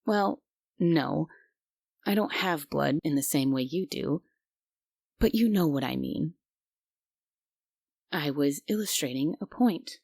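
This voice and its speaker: Casting: woman to play a prim, bossy female guardian angel for roleplay audio; low, unhurried delivery, secretly kind under the strictness. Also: expressive, vocal fry, darkish tone, slightly rough, dry recording, studio quality, heavily acted. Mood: stubborn, flustered. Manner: bossy, prim, grudgingly caring. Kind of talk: immersive roleplay audio drama, ASMR.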